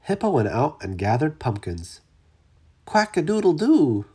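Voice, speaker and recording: A storyteller reading a children's story aloud with expressive, dramatic emphasis. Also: medium pitch, measured pace, slightly rough. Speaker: storybook reader.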